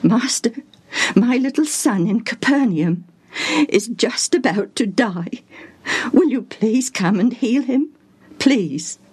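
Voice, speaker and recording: A woman voicing a distraught, devoted father, a royal official, with urgent, breathy, quivering speech in a storybook reading. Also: a little high, very animated, measured pace, pitched above the performer's own voice, heavily acted. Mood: pleading. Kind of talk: dramatised Bible storybook reading.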